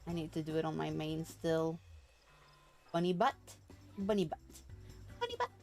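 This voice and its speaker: A woman's voice: low voice, lively intonation, normal speed. Casual woman streamer over game music. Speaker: live streamer.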